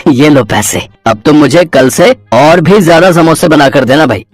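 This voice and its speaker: A man's voice: on the high side, animated, normal speed, dry recording, faint music behind. A man voicing an honest, hardworking village samosa seller who speaks eagerly and helpfully in a cartoon story. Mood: cheerful. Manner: warm-hearted, eager, helpful.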